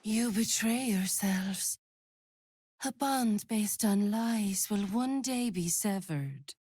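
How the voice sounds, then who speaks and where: Give this voice to a solemn fantasy-game mystic. She speaks extremely slowly, in a breathy, incantatory hush that probes the listener. The sound is dry and intimate. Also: medium pitch, lively intonation, dry recording. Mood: grave, accusing. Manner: hushed, incantatory, breathy delivery. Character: mystical female love-reader, slow, solemn and probing. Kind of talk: theatrical fantasy game acting.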